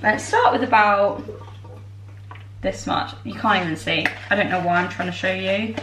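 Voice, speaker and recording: A young woman in her twenties, tired and a little croaky, chatting in a bubbly way to her camera while she bakes in her home kitchen. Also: mid-range voice, normal speed, noisy background. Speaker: vlogger. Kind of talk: casual chat. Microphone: far camera. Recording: echoey kitchen from far off.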